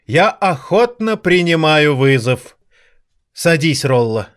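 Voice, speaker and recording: A man's voice: on the high side, very animated, slow, clean tone. A man playing an elderly piano maestro in an audio drama, grandiloquent and indulgent, with theatrical, florid delivery. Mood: grand, delighted. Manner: theatrical, florid, condescendingly affectionate.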